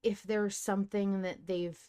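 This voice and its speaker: A woman's voice: mid-range voice, fairly even intonation, measured pace, mellow, clear, resonant tone. A woman hosting a podcast, chatting casually about fiction as a fan in a playfully dramatic way. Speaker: podcast host.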